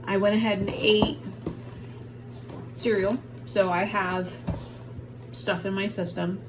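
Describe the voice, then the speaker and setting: A woman's voice: mid-range voice, level tone, takes it slowly, background noise. A woman vlogger talking casually and frankly to the camera from home while in pain, rambling about her day. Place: at home on a heating pad.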